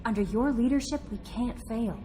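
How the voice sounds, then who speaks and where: A woman's voice: on the high side, normal speed, dark tone, a little hiss or hum, natural voice. A woman playing a friendly, earnest and eager young starship yeoman in a video game. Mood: admiring, earnest. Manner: upbeat, earnest, personable.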